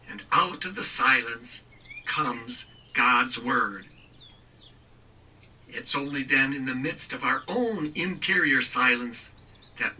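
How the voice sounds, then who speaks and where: A man leading a contemplative prayer in a slow, meditative way, softly whispered at times. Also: mid-range voice, recorded from a distance, slight background noise, low-quality recording. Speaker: prayer leader.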